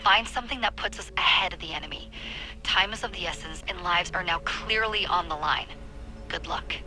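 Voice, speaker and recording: An urgent handler, low pitch, very sharp tone. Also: female voice, low-quality recording. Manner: brisk, urgent, businesslike. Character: brisk female modern-day mission handler. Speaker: mission handler. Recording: game audio with soft music.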